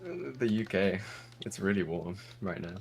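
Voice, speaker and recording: Chatty young English commentator, low voice. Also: male voice, lively intonation, normal speed. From England. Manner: chatty, laughs often. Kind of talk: casual online race commentary.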